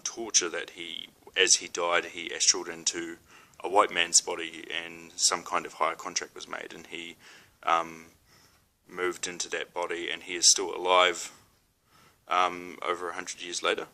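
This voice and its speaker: A man's voice: low voice, monotone, a little slow, sharp tone. A young man with a New Zealand accent talks casually to camera, hesitant, with many ums and restarts. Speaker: spiritual vlogger.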